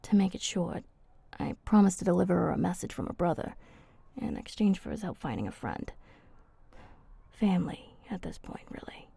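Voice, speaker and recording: A woman voicing a flirty, teasing female vampire in an ASMR roleplay, soft and husky, speaking intimately and haltingly. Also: fairly low pitch, big pitch swings, no echo, full character voice. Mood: guarded, earnest.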